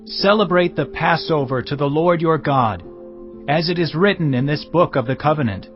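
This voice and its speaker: A man's voice: on the high side, moderate pace, lightly acted. An actor playing King Josiah, a commanding king giving orders, in a dramatized audio Bible. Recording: dull lo-fi with music underneath.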